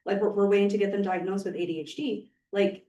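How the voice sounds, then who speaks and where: A woman's voice: mid-range voice, fairly even intonation, warm tone, highs cut off. Earnest woman speaking up from the audience. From Alberta, Canada. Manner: earnest, halting, many fillers. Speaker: audience member and community partner. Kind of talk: audience comment. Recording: roomy, distant room mic.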